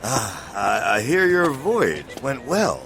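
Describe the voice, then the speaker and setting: An actor playing a sly, grasping innkeeper in an audio drama, falsely friendly and insinuating with drawn-out courtesy. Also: male voice, mid-range voice, sing-song, gravelly, over music, full character voice. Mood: wheedling.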